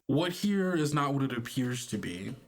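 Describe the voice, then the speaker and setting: Man, medium pitch, game master, tabletop narration, conversational, halting phrasing. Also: big pitch swings.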